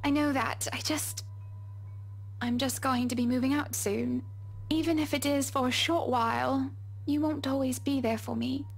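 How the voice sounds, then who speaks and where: A woman playing a composed young wife in a visual novel game, reassuring and gently teasing. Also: sits high, normal speed, gravelly, slightly rough, heavily acted. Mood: weary reassurance. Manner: calm, reassuring, dryly teasing.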